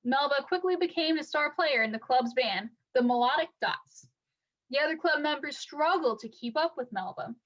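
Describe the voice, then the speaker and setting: High-voiced woman reading a children's storybook. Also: highs cut off. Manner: expressive, deliberate storytelling. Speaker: teacher reading a picture book aloud. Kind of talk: storybook read-aloud for children.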